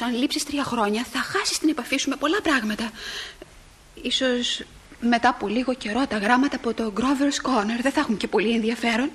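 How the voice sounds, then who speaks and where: A high, girlish voice of a nervous, tender small-town teenager. She speaks in breathy, hesitant phrases and often repeats a word as she gathers herself. The delivery is earnest theatrical radio drama. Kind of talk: stage drama acting for broadcast.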